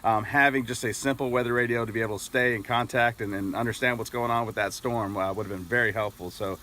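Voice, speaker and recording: A man's voice: mid-range voice, fairly even intonation, quick pace, pushed and bright. A middle-aged American man talking casually and a little hesitantly as he explains a product outdoors. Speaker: product reviewer. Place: outdoors in an open grassy yard with trees.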